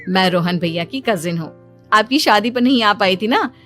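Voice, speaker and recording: A woman's voice: mid-range voice, expressive, normal speed, fairly bright tone, faint music behind, close to an everyday voice. A woman voicing a polite, cheerful young female cousin. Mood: friendly.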